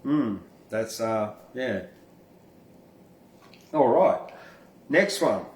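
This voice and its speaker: A man performs spoken verse in a slow, deliberate way. His manner is informal and blunt, dropping into casual asides between lines. The sound is roomy, with a noticeable echo around the voice. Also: medium pitch, expressive, distant mic. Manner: informal, blunt, freely swearing. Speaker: podcast host and poet reading own verse. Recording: roomy, echoing room sound.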